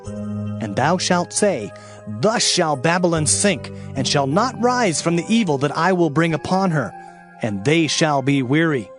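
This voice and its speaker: Music plays behind a man acting as a solemn biblical prophet. His raised voice declaims slowly, drawing out words of doom in a dramatised scripture reading. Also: a little high, big pitch swings, voice pushed up. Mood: commanding, ominous. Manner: slow, drawn-out declaiming. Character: solemn male Old Testament prophet pronouncing doom.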